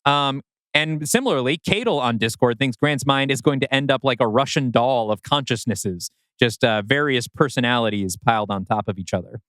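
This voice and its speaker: A man's voice: medium pitch, normal speed, fairly bright tone, no echo. A man co-hosting a podcast in casual chat, reading out listener comments in a playful, conversational way. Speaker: podcast co-host.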